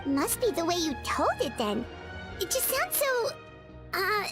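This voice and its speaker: A woman voicing a tiny, childlike, excitable fairy companion in a video game, gushing and wide-eyed, over loud music. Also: extremely high pitch, a little slow, heavily acted. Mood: curious.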